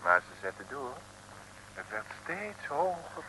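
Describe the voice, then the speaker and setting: A man's voice: mid-range voice, very animated, a little slow, bright, forceful tone, breathy edge. A man narrating a comic Dutch radio serial from around 1960, building up the story theatrically. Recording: noisy old radio recording.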